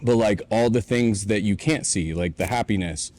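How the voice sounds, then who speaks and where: A man in his late twenties with a lowish voice speaks earnestly and reflectively in a casual podcast chat. He tells his story openly, recorded in a warehouse. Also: normal speed, a little mellow. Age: 20s. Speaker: podcast co-host.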